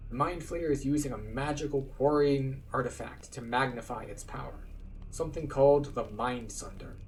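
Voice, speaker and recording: A man's voice: mid-range voice, distant mic, a little hiss or hum, lightly acted, rough recording. A man voicing an earnest, solemn quest-giver who briefs an adventurer in a fantasy game. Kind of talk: read-aloud fantasy game dialogue.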